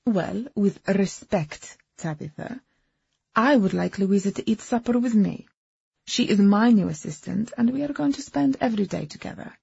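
A woman voicing a proud, softly steely young wife and hostess in an audiobook, with a soft Eastern European accent. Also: medium pitch, lively intonation, moderate pace, gravelly, husky, no echo, dull audio, pitched above the performer's own voice, exaggerated voice. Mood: firm.

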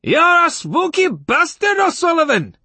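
A man voicing an irate, blustering male neighbor in an audiobook, spluttering and shouting in a panicked, shrill way. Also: wildly swooping pitch, unhurried, dry recording, heavily acted. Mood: outraged yelling. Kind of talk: audiobook character acting.

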